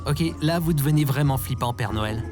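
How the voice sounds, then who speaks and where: A man's voice: medium pitch, brisk, over music, natural voice. Anxious, naive everyman played by a man. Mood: disturbed. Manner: earnest, hesitant, expressive. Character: anxious, naive lone human man, easily panicked. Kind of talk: scripted French audio fiction acting.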